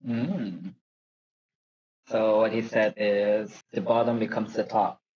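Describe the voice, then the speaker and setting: A man's voice: on the low side, level tone, slow pace. Dull lo-fi recording of a casual man. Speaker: co-commentator listening to the reading. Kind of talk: casual slangy chat. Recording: dull, lo-fi voice audio.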